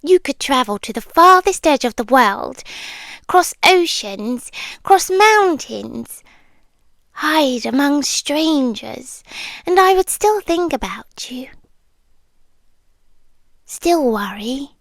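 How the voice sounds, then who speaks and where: A British woman playing a centuries-old, possessively devoted monster girlfriend in an intimate ASMR roleplay, speaking softly and often slipping into breathy whispers. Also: high-pitched, animated, slow, pauses often, no echo, exaggerated voice. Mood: possessive, tender.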